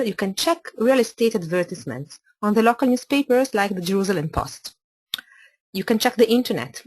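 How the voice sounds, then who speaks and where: A woman from Italy, a native Italian speaker with an Italian accent, presenting a webinar as an architect in careful, slightly halting English. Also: medium pitch, poor audio.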